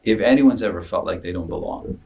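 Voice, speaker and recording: A man's voice: fairly low pitch, a little fast, darkish tone. A man chatting reflectively on a podcast, telling anecdotes in an earnest way and acting out bits of dialogue. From Africa, later moved to Canada. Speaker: podcast co-host, business founder. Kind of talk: casual reflective podcast conversation. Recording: distant microphone.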